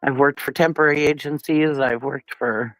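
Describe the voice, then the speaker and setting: A woman's voice: rumbling low, fairly even intonation, moderate pace, dry recording, soft background music, poor audio. Stuffy-nosed woman hosting a blunt livestream. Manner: blunt and profane, laughs often. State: stuffy nose from a cold, sniffly. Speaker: livestream commentary host. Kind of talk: casual livestream commentary chatting with viewers.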